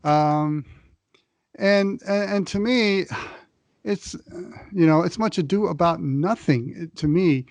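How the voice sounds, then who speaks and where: A man's voice: high-pitched, takes it slowly, pauses often, no echo. A man co-hosting a sports podcast, chatty and wry, with frequent hesitations. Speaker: podcast co-host. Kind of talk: casual sports talk.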